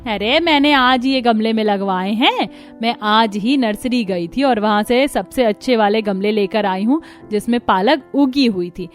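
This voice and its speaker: A woman's voice: fairly high pitch, unhurried, soft background music, lightly acted. A woman voicing a cheerful, bossy middle-aged mother-in-law in a cartoon story, exclaiming and insistent. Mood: pleased, reassuring.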